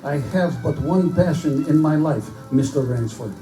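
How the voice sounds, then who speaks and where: A man's voice: a little high, moderate pace, dark tone, natural voice. A man playing a courtly, boastful Russian general obsessed with big-game hunting, in a theatrical live reading of pulp fiction. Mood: suave, gracious. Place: bookstore live performance before microphone. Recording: echoey live room, distant mic, music underneath.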